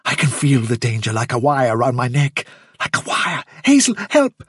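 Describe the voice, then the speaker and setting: A man's voice: steady tone, normal speed, slightly rough, no room sound, clean studio sound, lightly acted. A man voicing a frightened, miserable young male rabbit in a storybook, stammering and blurting in urgent pleas. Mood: panicked. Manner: stammering, blurting, high-strung.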